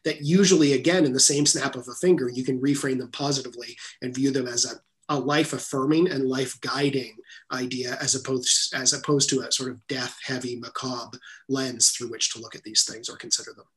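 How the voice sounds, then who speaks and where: Earnest man in a measured interview. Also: medium pitch, steady tone, fairly bright tone. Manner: earnest, measured, repeats words while rephrasing. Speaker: guest author. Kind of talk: reflective interview talk.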